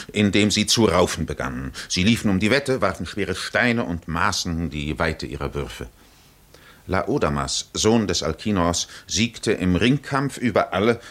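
A man narrating a literary 1950s radio drama in measured, deliberate phrases with pauses. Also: sits low, a bit bright.

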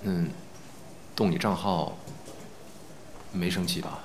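An actor playing a proud, playful male film star with a soft spot, easily made awkward, in an audio drama. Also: low voice, slow, vocal fry, a little hiss or hum, heavily acted. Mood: gentle. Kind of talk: Chinese audio drama acting.

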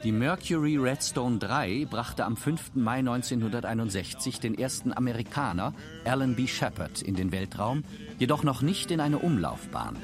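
A man's voice: medium pitch, lively intonation, moderate pace. A man narrating a scripted radio documentary. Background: music.

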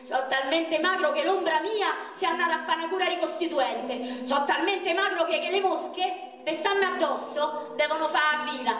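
A woman's voice: very high voice, moderate pace, hardly any pauses, fairly bright tone. A woman on stage playing a very skinny, self-mocking young man from Rome, telling jokes in a declamatory, repetitive way with a Roman Italian accent. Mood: escalating, boastful self-mockery. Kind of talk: theatrical stage monologue. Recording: echoey stage recording from far off, noisy.

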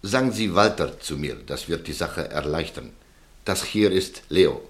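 A smooth, quietly persuasive extortioner in a radio play; very low voice. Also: male voice, expressive. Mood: coaxing. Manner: soft-spoken, coaxing, measured. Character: smooth, calm male extortioner named Walter. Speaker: radio drama character.

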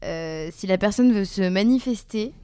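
A woman's voice: mid-range voice, moderate pace, mellow, smooth. A woman taking part in a podcast, talking in a casual, conversational way. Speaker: podcast participant. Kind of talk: casual chat.

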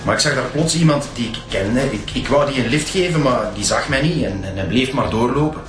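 An actor playing an evasive, hesitant witness with a Flemish accent in a radio drama. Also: male voice, medium pitch, animated, brisk, reverberant, soft background music, lightly acted. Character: evasive witness who saw the suspect.

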